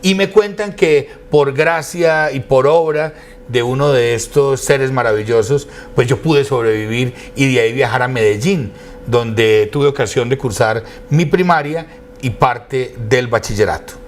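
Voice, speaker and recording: A man's voice: medium pitch, unhurried. Eloquent Colombian man over background noise. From Quindío, Colombia. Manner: eloquent, formal, elaborate phrasing. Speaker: interview guest, academic and radio station director. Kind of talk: conversational storytelling in an interview.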